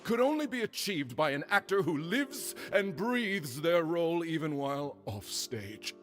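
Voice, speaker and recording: A man voicing a fawning male journalist who effusively flatters. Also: a little high, measured pace. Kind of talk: game voice acting. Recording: game dialogue with soft music behind.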